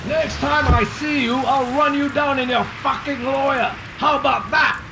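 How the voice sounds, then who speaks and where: An actor playing a gruff, jaded, hard-drinking senior detective in an audio drama, blunt and terse. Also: male voice, very high voice, fairly even intonation, normal speed, background noise. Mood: furious. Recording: music behind the voice.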